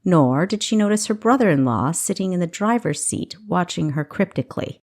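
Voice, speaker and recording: A woman's voice: lowish voice, expressive, moderate pace, a little mellow. A woman narrating a novel as an audiobook, reading expressively from a script.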